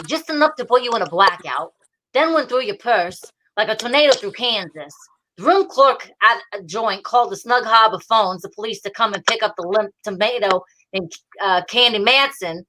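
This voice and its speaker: A woman playing a gruff but fond, exasperated police detective in an old-time radio drama, hardboiled and teasing. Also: mid-range voice, pushed and bright, a little hiss or hum, rough recording.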